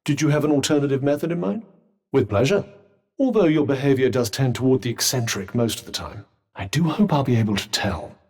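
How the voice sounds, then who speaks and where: A man's voice: medium pitch, animated, a little mellow. A man voicing a wry, sardonic male talking magic bracelet in video game voice lines, with dry, formal, urbane speech. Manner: urbane, teasing, measured. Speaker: companion character.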